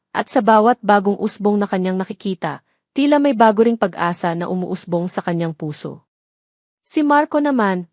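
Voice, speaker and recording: A woman's voice: medium pitch, darkish tone, dry recording, clean studio sound. A woman storyteller giving a dramatic scripted narration of a romance story.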